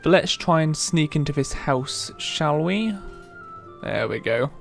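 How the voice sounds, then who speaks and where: A man's voice: fairly high pitch, animated, unhurried, gravelly. A man gives casual let's play commentary. He takes his time as he talks, with some game music playing behind him. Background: video game music.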